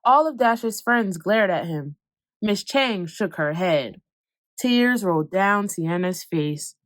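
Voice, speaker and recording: A woman reading a children's picture book aloud, expressive, slowing down for calm moments and speeding up for excitement. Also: medium pitch, no echo. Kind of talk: read-aloud storytelling.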